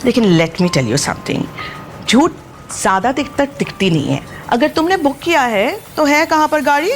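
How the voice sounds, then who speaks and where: A woman's voice: sits high, animated, normal speed, faint music behind. A woman playing a haughty, boastful middle-aged aunt in an audio drama, with a snide, theatrical, taunting manner. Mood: condescending. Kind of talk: Hindi audio drama acting.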